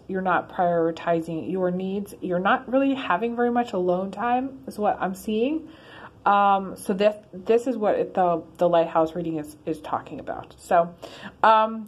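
A woman giving a casual one-card tarot reading to her followers, rambling with many ums and restarts. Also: fairly low pitch. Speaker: tarot reader. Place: in her car.

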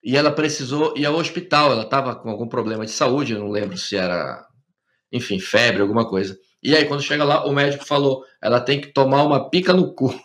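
A man's voice: mid-range voice, a little fast, a bit bright. Chatty man hosting a podcast. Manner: chatty, checks in with tag questions. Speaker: podcast host. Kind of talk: casual interview, telling anecdotes.

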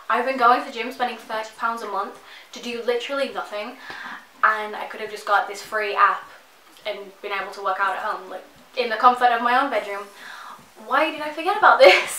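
A woman's voice: on the high side, bright, forceful tone, reverberant, slight background noise, poor audio. A young woman in her twenties chatting to camera in her bedroom, rambling enthusiastically and saying "like" a lot. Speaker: fitness vlogger. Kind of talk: casual chatty sponsored vlog. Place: bedroom, indoors.

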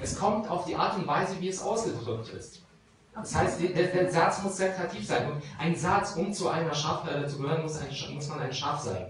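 A man in his thirties gives a lively talk to a pub crowd and explains with examples. His voice sits slightly high. The microphone catches him from a distance in a very echoey room with clear noise behind him. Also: moderate pace. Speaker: lawyer speaking at a meetup. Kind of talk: informal talk answering audience questions. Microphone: handheld microphone. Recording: very echoey room from far off, noisy.

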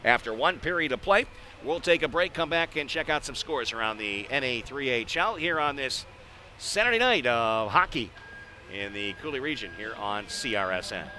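A man gives live hockey play-by-play in clipped, running phrases. His voice sits at a middle pitch, with clear arena noise behind him. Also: expressive, moderate pace, bright, forceful tone. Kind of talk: live sports radio commentary. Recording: noisy live ice arena ambience.

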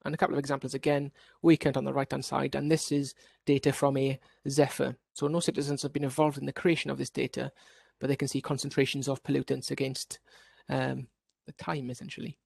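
A man's voice: medium pitch, little pitch movement, a little fast, vocal fry, few pauses, darkish tone, no echo. A man from Wales giving an online workshop presentation as a researcher, explaining steadily with occasional restarts over a video call.

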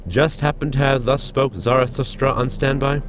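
A man's voice: medium pitch, normal speed, music in the background, lightly acted. A man playing a formal, drily witty, deferential spaceship crewman in a sci-fi comedy audio drama. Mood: deadpan. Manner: deadpan, formal, wordy. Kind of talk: science fiction comedy audio drama acting.

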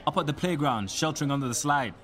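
An obliging local in a radio soap. His voice is a little high and varies freely. He talks casually, in everyday colloquial phrases. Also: expressive, fast, a bit bright. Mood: cheerful, helpful. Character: helpful, laid-back male villager. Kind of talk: naturalistic radio soap acting.

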